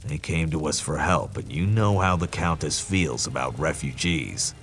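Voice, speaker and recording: Very deep, gravelly game soldier. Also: male voice, normal speed, fairly bright tone. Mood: matter-of-fact briefing. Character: gruff, loyal male soldier in disguise, dryly humorous. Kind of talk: video game character dialogue.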